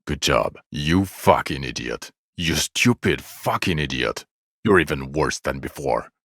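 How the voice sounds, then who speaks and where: Very deep, gravelly, bitter police detective. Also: male voice, lively intonation, a little slow, fairly bright tone, no room sound, clean studio sound, heavily acted. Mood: bitter contempt. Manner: sardonic, contemptuous, weary scolding. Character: bitter, exasperated, foul-mouthed male police detective, scathingly sarcastic. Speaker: video game voice actor. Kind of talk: video game character dialogue.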